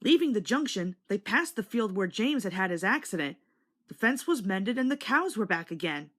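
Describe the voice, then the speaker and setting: A woman narrates a children's storybook in a scripted read-along style. Her voice is medium in pitch, with very lively, varied intonation.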